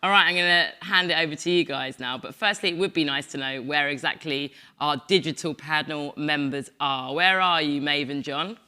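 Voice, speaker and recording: A woman's voice: sits low, normal speed, hardly any pauses, sharp tone, smooth. A woman hosting a panel discussion, introducing guests in a chatty way and laughing often. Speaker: panel host. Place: event venue in Glasgow.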